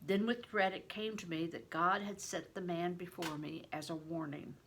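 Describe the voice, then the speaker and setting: An older woman reading a novel aloud in an expressive, deliberate storytelling manner, stressing words for drama. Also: fairly low pitch, slight background noise. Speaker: reader, retired reading teacher. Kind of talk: expressive audiobook-style read-aloud of a novel.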